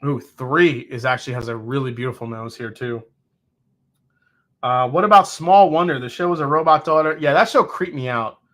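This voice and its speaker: A man in his forties hosting a live whiskey tasting, chatting casually and reacting to viewers' comments with quick, excitable exclamations. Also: medium pitch. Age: 40s. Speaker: host of a whiskey tasting show.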